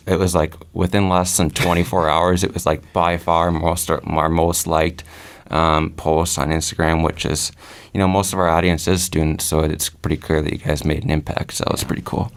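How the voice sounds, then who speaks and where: Man hosting a casual podcast, very deep and monotone, relaxed, lots of filler. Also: normal speed, clean tone. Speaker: podcast host.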